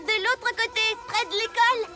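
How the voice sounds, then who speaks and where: A spirited little girl superhero, extremely high in pitch. She is bossy and assertive in a cartoon way, with loud music behind her. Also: level tone, moderate pace. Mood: confident. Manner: assertive, exclamatory. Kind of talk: animated children's cartoon dub.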